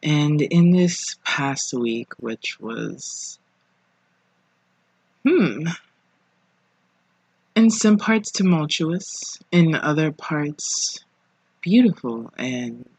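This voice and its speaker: A podcast host speaking casually alone, in an informal, reflective way with pauses between phrases. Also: medium pitch, sing-song, darkish tone, breathy edge, no echo, low-quality recording. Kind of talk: casual solo podcast monologue. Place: living room at home.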